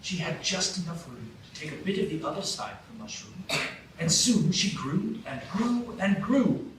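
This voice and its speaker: A man narrating a storybook tale in a live radio play, telling it in a clear, even way. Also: fairly high pitch, moderate pace. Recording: roomy stage from a distance, hiss.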